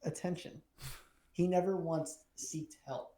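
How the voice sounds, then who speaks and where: A man's voice: on the high side, steady tone, a little slow, dark tone, rough recording. A young man co-hosting a podcast, chatting crudely and casually, rambling, swearing and trailing off mid-sentence. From Filipino heritage. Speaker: podcast co-host.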